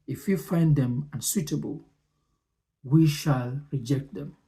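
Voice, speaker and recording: Slow, measured man with a mellow voice. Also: a little high, rough recording. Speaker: presenter and commentator. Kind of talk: reading speech excerpts with explanatory commentary.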